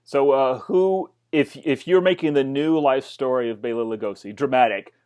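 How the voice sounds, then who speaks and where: A man's voice: medium pitch, moderate pace, darkish tone. A man in his forties hosts a casual interview from his home study over earbuds. His voice sits mid-range and he asks questions with eager, joking asides. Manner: jokey, enthusiastic questioning. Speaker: podcast host. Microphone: earbuds on video call.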